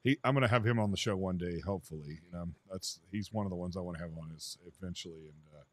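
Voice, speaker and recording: Male show host, easygoing and chatty, slightly low voice. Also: quick pace. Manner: laid-back, lots of fillers and "man". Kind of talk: casual interview chat.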